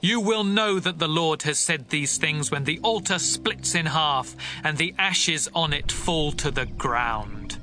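An actor playing a stern, resolute prophet, declamatory and firm, in a dramatised scripture reading. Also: male voice, a little high, lively intonation, a little slow, few pauses, pushed and bright, soft background music. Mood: proclaiming. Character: stern, resolute male prophet delivering divine warnings.

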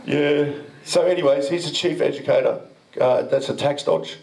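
A man's voice: fairly high pitch, steady tone, normal speed, creaky, noisy background. Cheeky man on a handheld mic. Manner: cheeky, self-mocking, banters with the audience. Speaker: presenter at a blockchain meetup. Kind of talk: joking conference talk. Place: dim event room with a projector screen.

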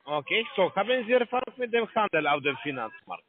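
A man with a foreign accent making a sales pitch on a phone call in broken, halting German. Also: sing-song. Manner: broken halting German, many restarts and fillers. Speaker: scam call center agent. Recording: tinny phone line.

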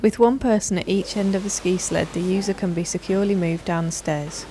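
A woman reads scripted instructional narration in a measured, formal, clearly enunciated manner. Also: lowish voice, warm tone, slight background noise. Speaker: narrator of a product training video.

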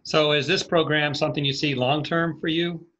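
A man's voice: fairly high pitch, fairly even intonation, normal speed, a bit bright. A middle-aged man hosting an interview on a video call, asking questions in a conversational style. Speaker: interviewer, host. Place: small office, indoors.

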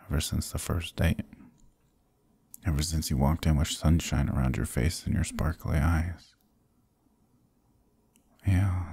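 Low husky male voice, actor playing sick stubborn boyfriend, ASMR roleplay, slow, tender, sleepy murmur, close dry mic. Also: no echo, exaggerated voice. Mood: loopy, tender. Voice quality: husky, gravelly, breathy. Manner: soft, drowsy, murmuring to a partner. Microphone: close, dry microphone.

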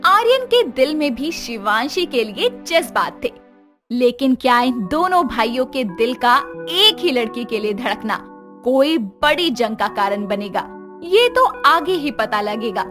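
A woman narrates a dramatic scripted story in a very high, highly animated voice. She takes it slowly, with music underneath.

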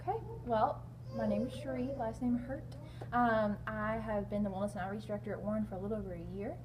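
A woman's voice: mid-range voice, fairly even intonation, fast talker. A young woman, a wellness director, answering interview questions in a friendly, explanatory way. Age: young adult. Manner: friendly, explanatory, laughs easily. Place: large indoor hall. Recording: distant mic with background noise.

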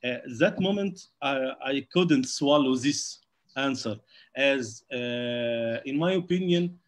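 An Egyptian man, Arabic his first language, speaks English over a video call. His voice sits in an ordinary middle pitch and he moves very slowly, stopping on uh after nearly every phrase as he searches for words. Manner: slow and halting, heavy uh fillers. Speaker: calligrapher, panel guest. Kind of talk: casual online panel talk.

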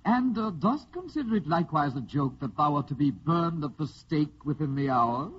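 A man's voice: fairly high pitch, animated, moderate pace, slightly rough. An actor playing a young page at King Arthur's court, speaking formal, flowery archaic phrases in old-time radio style. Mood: matter-of-fact, ominous. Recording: dull old radio recording.

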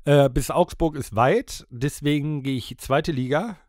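A man's voice: on the high side, a little slow, a little mellow, dry recording, studio quality. A man co-hosting a podcast in casual, joking chat. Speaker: podcast co-host.

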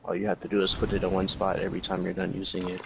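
A man's voice: low-pitched, monotone, rapid-fire, creaky, noisy background. A man who plays video games, chatting casually and muttering sardonic asides. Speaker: video game player.

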